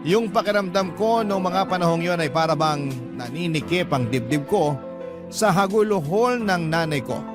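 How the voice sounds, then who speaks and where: Casting: sentimental young narrator, slightly high-pitched, confessional radio drama, prominent music bed. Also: male voice, expressive, normal speed. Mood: sorrowful, reflective. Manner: earnest, sentimental. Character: earnest, wounded young man recounting family heartbreak.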